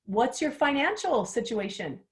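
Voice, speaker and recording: A forty-year-old woman runs a guided writing workshop in a chatty, candid way, often laughing at herself. Her voice sits a little higher than most women's. She talks to her listeners directly and keeps things informal. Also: very animated, a little slow. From Utah, United States. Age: 40s. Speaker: workshop host.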